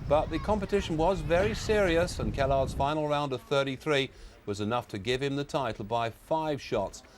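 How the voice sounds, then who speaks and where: A man's voice: mid-range voice, moderate pace, background noise. A British man presenting sports news in broadcast style.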